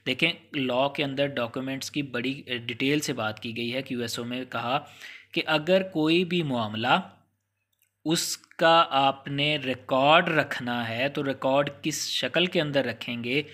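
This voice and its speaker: Bright-voiced Pakistani man giving a lecture. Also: mid-range voice. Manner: instructive, with frequent English legal terms. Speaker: law lecturer. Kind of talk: informal explanatory lecture.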